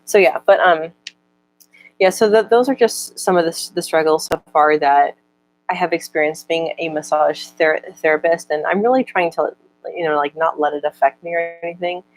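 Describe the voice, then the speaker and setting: A woman's voice: sits low, low-quality recording. A young woman in casual chat, stuttering often with repeated words and broken-off syllables as she answers viewer comments. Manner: reads out viewer comments slower.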